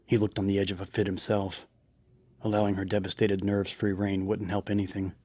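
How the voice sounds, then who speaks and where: A man's voice: deep voice, completely flat delivery, fairly quick, darkish tone. A man reading scripted horror fiction as a dramatic audiobook narrator. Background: background music.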